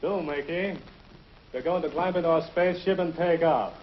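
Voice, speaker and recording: Man doing a cocky scoffing schemer, a bit high, old muffled tv track. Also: normal speed, close to an everyday voice. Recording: muffled old TV soundtrack with faint hiss.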